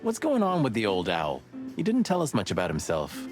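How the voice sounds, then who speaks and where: Wry rooster detective, animated pitch. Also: male voice, a little fast, faint music behind. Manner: wry, reflective. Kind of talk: noir adventure game voice acting.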